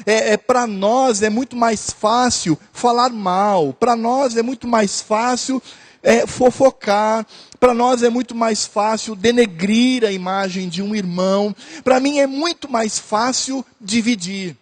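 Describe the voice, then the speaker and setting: A man's voice: very high voice, takes it slowly. A man preaching a sermon as pastor, repeating phrases earnestly and hesitating at times.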